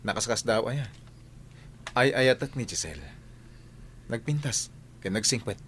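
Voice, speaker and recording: An earnest male boss and dutiful son , played by a man in a radio play. His voice is mid-pitched and his delivery measured and sincere. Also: lively intonation, fairly bright tone, breathy edge. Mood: commanding, smitten. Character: earnest adult son and boss, lovestruck suitor. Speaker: actor. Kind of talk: Ilocano radio drama acting.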